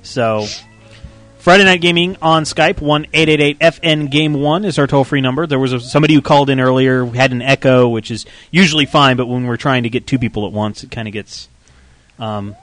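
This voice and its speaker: A man's voice: mid-range voice, fairly even intonation, a little fast, fairly bright tone, no room sound, soft background music. A man hosting a live call-in gaming show, chatty and rambling with a self-deprecating manner. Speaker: radio show host. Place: in a game store studio.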